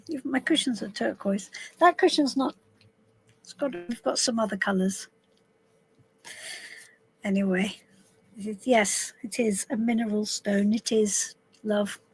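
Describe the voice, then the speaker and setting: A middle-aged woman from southwest London, her voice a little husky, chatting casually to viewers on a live stream from inside a camper van, calling people darling. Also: fairly high pitch, poor audio. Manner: chatty, warm greetings to viewers, calls people darling and love. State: a bit husky voice. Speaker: tarot reader hosting a live stream.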